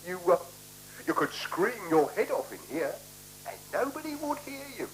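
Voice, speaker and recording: On a slightly humming old radio recording, a jaunty British hotel keeper with extremely animated, swooping pitch. He is chummy and eccentric. His coaxing carries a sly menace. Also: normal speed, a little hiss or hum, poor audio. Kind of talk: 1970s radio play acting.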